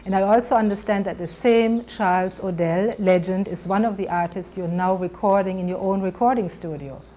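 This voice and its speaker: A woman interviewer putting prepared questions to a guest in a measured, formal way. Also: mid-range voice, dark tone, slight background noise. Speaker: interviewer, TV host.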